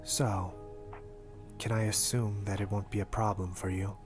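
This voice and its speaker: Man acting a low-voiced, formal ghoul doctor over music. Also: little pitch movement, lightly acted. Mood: composed, formal. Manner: formal, measured, dry. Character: composed, formal male ghoul doctor, curt but loyal. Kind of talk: anime fan audio roleplay. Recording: prominent music bed under voice.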